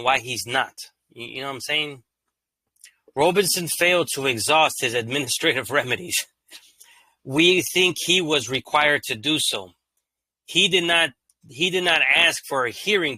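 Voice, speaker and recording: A man hosting his own channel, reading court rulings aloud in an even, monotone way and breaking off into casual, slangy commentary. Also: medium pitch, no echo, poor audio. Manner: reads legal text evenly, then breaks into loose slangy asides.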